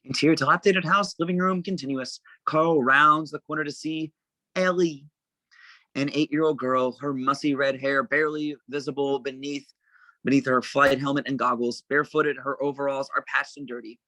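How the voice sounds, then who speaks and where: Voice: mid-range voice, steady tone, normal speed, sharp tone, dry recording, poor audio. A narrator reading screenplay stage directions aloud for a table read in a plain, descriptive manner.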